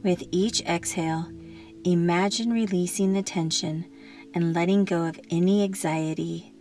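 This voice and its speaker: Slow, soothing woman guiding meditation. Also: on the low side, steady tone, soft background music. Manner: gentle, soothing, unhurried instruction with long pauses. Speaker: meditation guide. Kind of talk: guided meditation narration.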